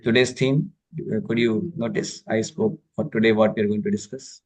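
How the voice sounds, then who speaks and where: A man's voice: fairly low pitch, steady tone, normal speed, a little mellow. Man, Indian accent, online lecture, patient, explanatory, checks understanding often, video call audio. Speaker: spiritual teacher.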